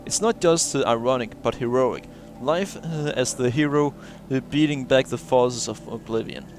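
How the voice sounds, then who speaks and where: A man casually playing a video game and reading its text logs aloud. He reads haltingly, filling gaps with "uh" and the odd chuckle, in a voice of middling pitch. Game music plays faintly behind him. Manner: halting read-aloud with many "uh" fillers and chuckles. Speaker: gamer playing through a game.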